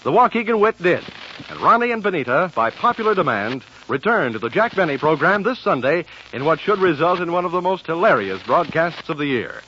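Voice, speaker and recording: An American male announcer from 1940s network radio, reading scripted promos and credits. His voice is bright and forceful with lively pitch swings, on a dull vintage recording. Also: brisk, slight background noise.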